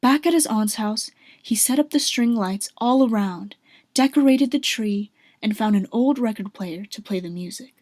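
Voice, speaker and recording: Female narrator, scripted storytelling, medium pitch, dry studio-like sound. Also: darkish tone, no room sound.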